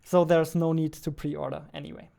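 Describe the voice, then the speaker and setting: A man in his twenties talking casually as a game developer while coding, halting as he works at his desk. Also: on the high side, little pitch movement, creaky, warm tone. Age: 20s. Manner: halting while typing, reads text aloud slowly. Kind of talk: casual narrated coding livestream or devlog. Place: indoors, at a computer desk. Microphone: studio mic on boom arm, close.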